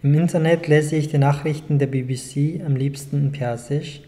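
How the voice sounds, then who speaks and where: A man in his twenties from Afghanistan, a native Dari speaker, reading his own text aloud in a calm, measured way at a panel table in a small club room. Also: fairly high pitch. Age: 20s. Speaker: guest author. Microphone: table mic on a short stand.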